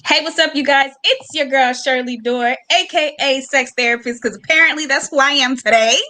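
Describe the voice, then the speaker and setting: A woman's voice: high-pitched, brisk, sharp tone. A young woman co-hosting a podcast in casual conversation. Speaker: podcast co-host. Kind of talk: casual chat.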